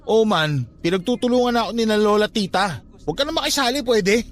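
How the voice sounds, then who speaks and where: High-voiced, stubborn, haunted young man. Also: expressive, fast. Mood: defensive. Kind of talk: radio drama acting.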